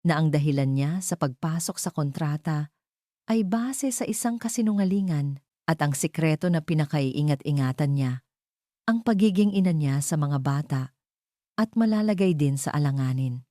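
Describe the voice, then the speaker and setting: Female story narrator, low voice, dark mellow tone, scripted drama, very dry sound. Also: expressive, moderate pace, dry recording.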